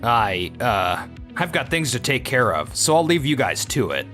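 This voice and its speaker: A man's voice: medium pitch, sing-song, moderate pace, bright, forceful tone, faint music behind. Man playing a smug, swaggering office boss. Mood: defensive. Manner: smug, sneering, dramatic. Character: arrogant, boastful young male office boss, belittling and touchy. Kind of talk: animated manga dub dialogue.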